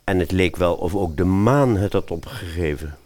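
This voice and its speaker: A man's voice: deep voice, normal speed, darkish tone. A man narrating a satirical fairy tale as storyteller, expressively and with wry asides to the listener.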